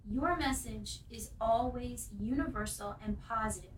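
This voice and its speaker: A woman with a somewhat high voice leads a relaxed interview. She asks thoughtful questions and affirms what her guest says. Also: normal speed, reverberant, recorded from a distance, a little hiss or hum, poor audio. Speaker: host. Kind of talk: casual interview talk.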